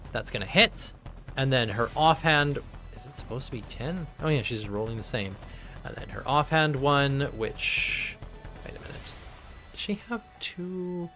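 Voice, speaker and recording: A man's voice: mid-range voice, expressive, measured pace, sharp tone, soft background music. A man acting as game master in a live tabletop game, narrating turns in a chatty way and thinking rules through aloud. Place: home streaming setup.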